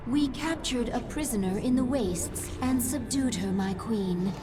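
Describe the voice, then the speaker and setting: Woman as higher-pitched, businesslike female battle adviser. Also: measured pace, close to an everyday voice. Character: loyal, businesslike female military adviser to a queen. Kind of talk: dramatic video game acting. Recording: loud game music over voice.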